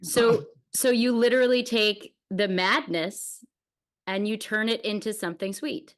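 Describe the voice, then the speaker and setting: A woman's voice: mid-range voice, measured pace. A woman hosting a podcast interview in a casual, conversational way. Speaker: podcast host, dancer and writer.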